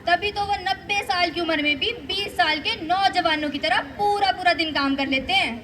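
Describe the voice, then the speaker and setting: A woman's voice: very high voice, normal speed, sharp tone. A female performer playing a patient, instructive mother in a school stage play, reciting explanations. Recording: live stage, faint background noise.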